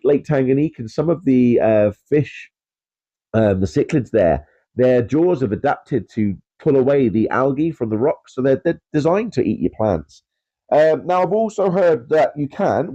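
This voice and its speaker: A man's voice: mid-range voice, mellow, lo-fi sound. A man with a cold, sounding bunged up, rambling casually about his fish with lots of "um"s as the host of a hobby channel. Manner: rambling, filler-heavy, self-deprecating about pronunciation. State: congested with a cold. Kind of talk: casual chatty video talk.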